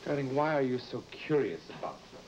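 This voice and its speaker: An actor playing an anxious, secretive married lawyer in a vintage broadcast drama, speaking in a halting, pleading, evasive way. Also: male voice, mid-range voice, sing-song, natural voice. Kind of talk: vintage mid-century broadcast drama acting. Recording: dull, noisy vintage broadcast recording.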